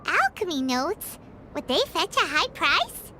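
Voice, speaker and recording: A woman voicing a tiny, excitable fairy-like girl companion in a video game, with a squeaky childlike voice and an eager manner. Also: measured pace, exaggerated voice. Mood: alarmed, indignant. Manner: refers to self in third person, eager. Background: background music.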